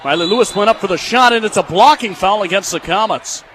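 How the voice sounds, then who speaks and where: A young American man calling live basketball on radio as play-by-play broadcaster, in clipped phrases and excitable on big plays. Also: high voice, fast. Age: young adult. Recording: clearly noisy live sports venue sound.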